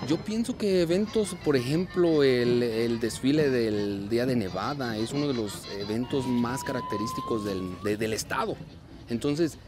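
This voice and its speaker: A man in his thirties from Mexico, a dance and theater group director, speaking earnestly and at a measured pace in an outdoor interview, with frequent fillers and restarts. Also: medium pitch. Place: outdoors at a street parade. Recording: outdoor clip with music behind. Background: festive music.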